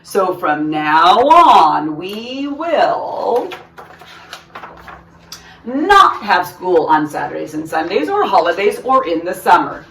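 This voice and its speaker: Lady doing a super keen proud principal for a kids story, big lively swings, bit far from mic. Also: lowish voice, slow, a little hiss or hum, full character voice. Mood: earnest, announcing. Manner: emphatic, declamatory. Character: proud, overeager male school principal, booming with enthusiasm. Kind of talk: children's storybook character voice. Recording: roomy echo, distant microphone.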